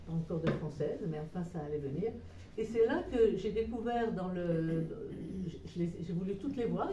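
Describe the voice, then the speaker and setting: A woman speaks as the guest at a book talk, telling her story in a rambling, hesitant way with many restarts. Her voice is deeply mellow and dark. It is recorded from a distance in a roomy space with a little background hum. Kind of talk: informal book talk to a small audience. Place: meeting room with a live audience. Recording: roomy echo, voice far from mic.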